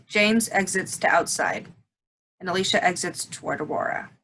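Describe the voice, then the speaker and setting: A woman's voice: on the low side, big pitch swings, moderate pace, fairly bright tone, slight background noise, poor audio. A woman reading stage directions as scripted narration.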